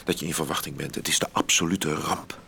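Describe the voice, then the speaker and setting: A man playing a passionate, rationalising lover in a radio drama, with a theatrical, expressive delivery. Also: extremely low pitch, brisk, gravelly, breathy edge, heavily acted. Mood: anguished. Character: passionate, agitated male lover, romantic and rationalising. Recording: loud background ambience.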